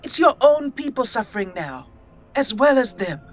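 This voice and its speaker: A woman voicing her own game character, a young female elf mage, in a measured, solemn way. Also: on the high side, sing-song, soft background music, pitched above the performer's own voice. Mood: earnest. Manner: measured, solemn role-play reading.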